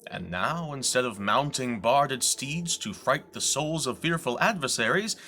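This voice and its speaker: A man performing a bitter, scheming, deformed villain duke in a dramatic, deliberate Shakespearean soliloquy. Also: mid-range voice, lively intonation, slight background noise, voice pushed up. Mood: grand, mocking. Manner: dramatic, deliberate, swinging from declaiming to hushed whisper.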